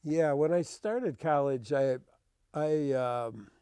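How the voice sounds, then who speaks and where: A man's voice: a little high, slow, mellow, smooth, no room sound, studio quality. An older American man, a veteran radio host, reminisces in casual conversation. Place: radio studio.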